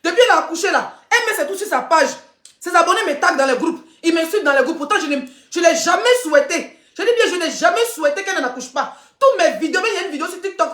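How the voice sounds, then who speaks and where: A woman's voice: very high voice, fairly bright tone, reverberant. A woman, hosting a rambling gossip live stream and often laughing mid-sentence. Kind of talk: casual live-stream commentary.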